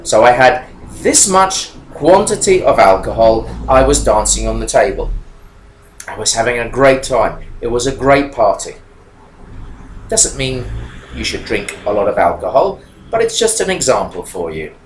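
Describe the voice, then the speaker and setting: A man's voice: medium pitch, moderate pace. A man in his fifties teaching English to learners, explaining grammar in a small room with a webcam, talking emphatically. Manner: animated, emphatic, gestures while talking. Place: small room indoors with whiteboard. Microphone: webcam at desk. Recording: echoey room, faint background noise.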